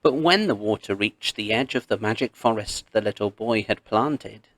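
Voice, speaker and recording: A man reading a myth aloud as a storybook narrator, expressive and measured, with pauses. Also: lowish voice, dry recording.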